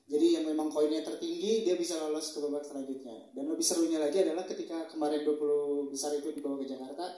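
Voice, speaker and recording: A man's voice: a little high, steady tone, fast talker, hardly any pauses, dark tone, far from the mic. A young man answering as a talent show judge, earnest, with frequent hesitations. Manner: earnest, fillers of "eh". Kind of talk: casual interview answers. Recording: echoey hall, voice from afar.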